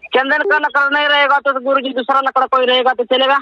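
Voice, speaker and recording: A man's voice: extremely high pitch, level tone, a little fast, sharp tone. A man from Maharashtra, a native Marathi speaker with a Marathi accent, talking casually as a caller and repeating his words. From Maharashtra, India. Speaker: caller, follower of a guru. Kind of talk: casual phone conversation. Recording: muffled narrow-band phone call.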